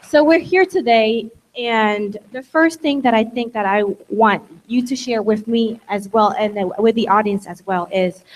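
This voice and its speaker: A woman acting as mediator at a conference, guiding an onstage interview in quick, loosely phrased speech. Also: mid-range voice, low-quality recording. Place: conference room.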